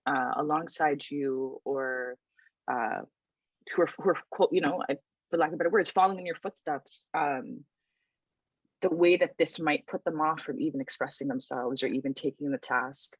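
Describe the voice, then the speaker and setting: A woman's voice: sits low, moderate pace, slightly rough, no room sound, dull audio. A woman, a writer and researcher talking thoughtfully in a casual podcast chat, with many restarts and ums. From Toronto, Canada.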